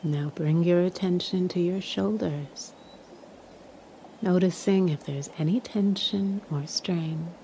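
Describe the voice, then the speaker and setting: A woman leading a guided meditation in a calm, soothing, measured way, leaving long pauses. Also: on the low side, a little hiss or hum.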